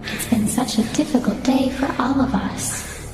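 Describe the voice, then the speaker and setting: A woman's voice: high-pitched, fairly even intonation, measured pace. A woman voicing a falsely sweet, unstable female animatronic in a video game, coaxing in a sugary way. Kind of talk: video game character dialogue. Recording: very echoey, distant, music behind.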